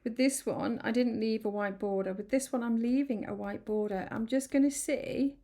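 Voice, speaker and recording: A woman chatting through a card-making tutorial, a little croaky from hay fever, rambling and apologetic with many ums. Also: on the high side, normal speed, mellow. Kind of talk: casual craft tutorial. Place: home craft room.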